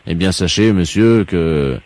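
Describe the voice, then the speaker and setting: A man playing an earnest caller who insists on absurd nonsense, mock-serious and deadpan, in a prank phone call. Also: low voice, measured pace. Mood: solemn, explaining. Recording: noisy radio prank phone recording.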